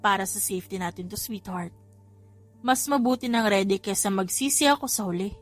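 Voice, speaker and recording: A woman's voice: mid-range voice, a little fast, soft background music, voice pushed down, natural voice. Woman voicing a possessive Filipino mafia boss in love. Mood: firm, protective.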